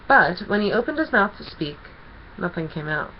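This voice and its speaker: A woman reading a children's story aloud as an expressive storyteller. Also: lowish voice, normal speed, dull audio, a little hiss or hum.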